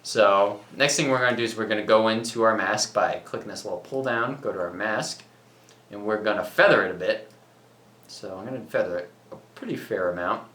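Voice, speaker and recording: A young man with a bright, forceful voice walks through an editing tutorial in an easygoing, joking way. He is recorded in a small home studio with a roomy echo around him. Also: fairly low pitch, a little fast. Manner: jokey, self-mocking, talks through steps. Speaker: tutorial co-host. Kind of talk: casual software tutorial with banter.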